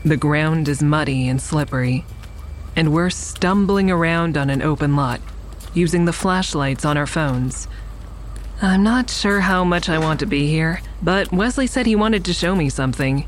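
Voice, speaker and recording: A woman's voice: low voice, normal speed, slight background noise, natural voice. A woman playing a wary survivor who narrates in the first person in an audio drama, introspective in manner. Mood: wary, reflective. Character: wary shooting survivor narrating a nighttime adventure.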